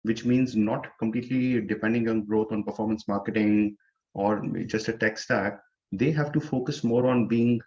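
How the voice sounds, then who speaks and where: A man, a startup co-founder answering interview questions in a measured way, often saying "you know". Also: on the low side, steady tone, a little mellow, muffled recording. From India.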